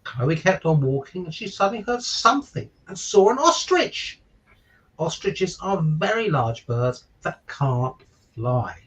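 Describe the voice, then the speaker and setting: A man's voice: a little high, sing-song, measured pace, a bit bright, distant mic, poor audio. A man reading a children's picture book aloud, with chatty asides and questions to his listeners. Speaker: stand-in children's story reader. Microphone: virtual call, away from the microphone.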